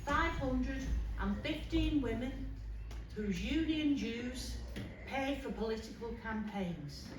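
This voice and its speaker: A woman playing a rousing working-class suffragist, giving an emphatic rally speech with deliberate pauses on stage. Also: on the high side. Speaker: actor performing a monologue. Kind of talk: theatrical political rally speech. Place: public hall, live performance before an audience. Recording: roomy, distant, noisy hall recording.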